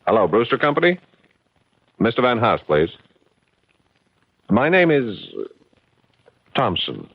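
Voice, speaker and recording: An actor playing a smug, scheming, determined advertising executive in a 1970s radio drama. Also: male voice, mid-range voice, sing-song, takes it slowly, long pauses, husky, no echo, full character voice. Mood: cool, determined. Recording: dull, dry 1970s radio recording.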